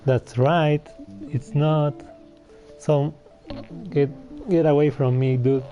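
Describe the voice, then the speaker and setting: A man's voice: a little high, level tone, slow pace, warm tone. A laid-back man doing casual video game playthrough commentary and reading out dialogue, on a muffled mic with faint game music behind. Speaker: gamer playing through a video game.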